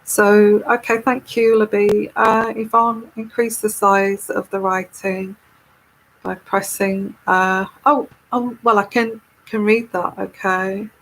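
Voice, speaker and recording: A woman with a soothing, calming voice, speaking very slowly and at an easy, rambling pace. She hosts a live tarot stream and chats casually with her viewers. Her pitch sits in a typical middle range for a woman. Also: a little hiss or hum, low-quality recording. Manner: unhurried, chatty and rambling.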